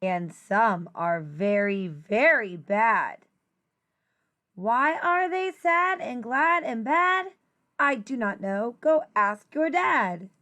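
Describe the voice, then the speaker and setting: A woman teacher reading a children's rhyming picture book aloud in an expressive, deliberate, singsong way. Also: fairly high pitch.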